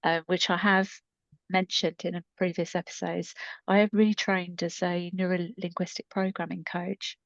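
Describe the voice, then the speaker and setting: A mature woman in her fifties talks casually as a podcast co-host, with a slightly low voice. She rambles chattily and pokes fun at herself. The sound is a little dull and lacking in top end. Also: flat delivery, moderate pace. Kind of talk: casual conversational storytelling. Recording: muffled, roomy echoing recording.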